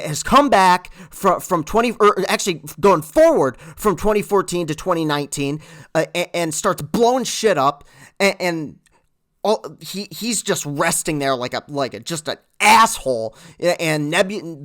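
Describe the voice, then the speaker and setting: Excitable man recapping a movie. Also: sits high. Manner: rambling, animated storytelling with swearing. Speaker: movie reviewer. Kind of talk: excited, casual film recap.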